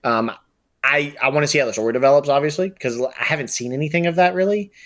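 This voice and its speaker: Casual man with a loose, halting delivery. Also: on the high side, a bit bright. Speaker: podcast co-host. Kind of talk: casual, unscripted chat.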